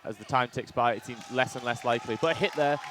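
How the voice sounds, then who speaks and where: A man with a loud voice giving color commentary at a live match, chatty and full of ums. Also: mid-range voice, fast talker, over music, a crowd in the background. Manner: chatty analysis with frequent ums and uhs, reads chat aloud. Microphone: headset.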